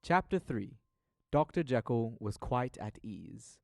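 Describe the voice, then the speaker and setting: A man's voice: mid-range voice, animated, takes it slowly, gravelly, a little mellow. A man reading scripted literary narration as the narrator of an audio drama.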